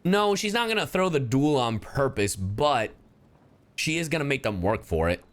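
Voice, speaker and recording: Animated, excitable male game streamer. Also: medium pitch, normal speed. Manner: excitable, slangy, foul-mouthed. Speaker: livestreamer. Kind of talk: casual live game commentary to chat.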